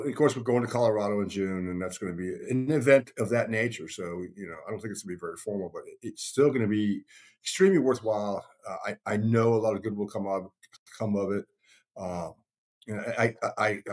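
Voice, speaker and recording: Rambling, low-pitched middle-aged man. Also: a little fast, darkish tone. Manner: rambling, emphatic, repeats phrases for stress. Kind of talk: casual conversational talk.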